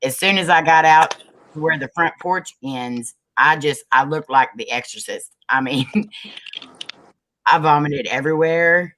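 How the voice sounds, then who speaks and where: A woman with a low voice, a paranormal investigator, telling a story on a group video call with many ums and restarts. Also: measured pace, fairly bright tone, slight background noise, rough recording. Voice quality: low, manly-sounding voice. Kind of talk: casual group video chat.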